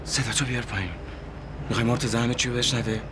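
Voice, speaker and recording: An actor playing a desperate young man on the run after a killing, talking low and bitter, then shouting in anger. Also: fairly even intonation, a little hiss or hum, close to an everyday voice. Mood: hushed tense. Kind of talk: TV drama acting.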